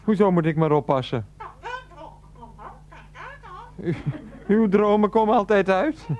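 Exasperated, put-upon husband. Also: male voice, high voice, lively intonation, moderate pace, darkish tone, dull audio. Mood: incredulous, soothing. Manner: stammering, incredulous, placating. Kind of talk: radio play dialogue.

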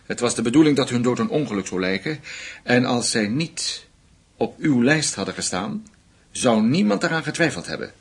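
An actor playing a shrewd German intelligence official in a radio drama, speaking formally and deliberately. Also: male voice, medium pitch, expressive, husky. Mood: knowing, grave.

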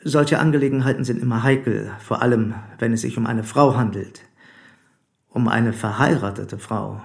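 An actor playing a probing, formal police commissioner in a German radio play, speaking deliberately and insistently. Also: male voice, medium pitch, vocal fry, close to an everyday voice. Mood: stern, measured.

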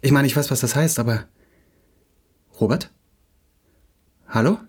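Stammering, insecure young playwright, husky-voiced. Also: male voice, mid-range voice, darkish tone. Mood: anxious, pleading. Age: young adult. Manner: stammering, halting, repeats himself. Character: insecure, agitated young playwright, brooding husband. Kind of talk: radio play acting.

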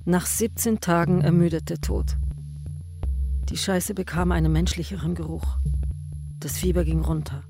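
An actress playing a grave woman in a radio drama, narrating her care of a dying man in a measured, stark, literary way. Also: lowish voice, fairly even intonation, close to an everyday voice. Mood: grave, intense. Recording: music behind the voice.

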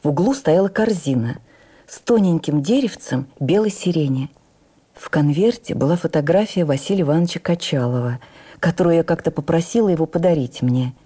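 Mellow, low-voiced woman narrating a memoir. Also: expressive, husky. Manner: measured, theatrical storytelling. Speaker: actress reading a memoir. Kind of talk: expressive radio memoir narration.

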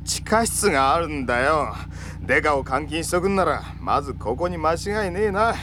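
A man's voice: on the high side, expressive, moderate pace, noisy background, lightly acted. A man playing a surly small-time crook and informant in a Japanese audio drama, speaking in grudging slang. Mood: sullen, grudging. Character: surly, sullen male crook turned informant.

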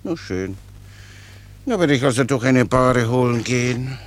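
An actor in a German radio play, playing a cheerful, long-winded common soldier who explains things pedantically with naive obedience. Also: male voice, mid-range voice, lively intonation, a little slow, slight background noise, close to an everyday voice. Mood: cheerfully persistent. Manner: chatty, rambling, pedantically explanatory.

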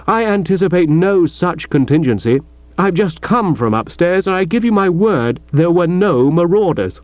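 Man acting a breezy posh young British gentleman. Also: high voice, lively intonation, normal speed, no room sound, lo-fi sound, voice pushed up. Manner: affable, flippant, posh. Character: breezy, airy upper-class young gentleman.

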